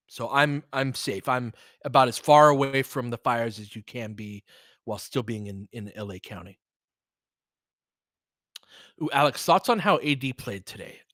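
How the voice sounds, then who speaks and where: Casting: American male sports stream host, medium pitch, unscripted, blunt and conversational, very dry room sound. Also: fairly bright tone, no room sound. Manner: candid and opinionated, swears freely. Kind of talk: casual, unscripted sports commentary chatting with listeners.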